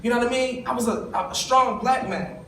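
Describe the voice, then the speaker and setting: A man's voice: extremely high pitch, normal speed, sharp tone, a little hiss or hum. A young man from East gives an emotional, conversational talk about his own life and speaks directly to his audience. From East Baltimore. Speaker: summit speaker. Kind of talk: personal storytelling speech. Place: conference hall. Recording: echoey room, voice from a distance.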